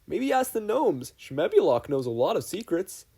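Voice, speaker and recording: A man puts on a laid-back, chatty fantasy creature for a story reading. The voice is pushed up high and stays casual and breezy. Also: sing-song, normal speed, gravelly, warm tone, breathy edge, exaggerated voice.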